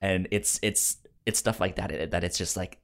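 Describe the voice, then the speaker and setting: A man co-hosting a podcast, discussing films casually in a halting, informal way. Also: sits low, steady tone, vocal fry, fairly bright tone. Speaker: podcast co-host.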